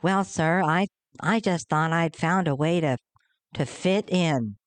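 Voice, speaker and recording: A woman's voice: low voice, slow pace, clean tone, no echo. A woman playing a meek, earnest young male elf who speaks politely and hesitantly in a comic Christmas radio play. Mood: hurt, determined.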